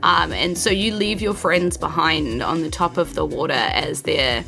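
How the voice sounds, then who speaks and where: A woman's voice: low-pitched, a little slow, gravelly, bright, forceful tone. A woman with a New Zealand accent narrating a tabletop game as game master, informal and encouraging. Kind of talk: improvised tabletop game narration and casual chat. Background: background music.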